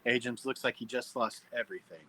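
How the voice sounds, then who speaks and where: A man's voice: medium pitch, a little fast, pushed and bright, breathy edge. A man serving as narrator, reading screenplay stage directions at a table read.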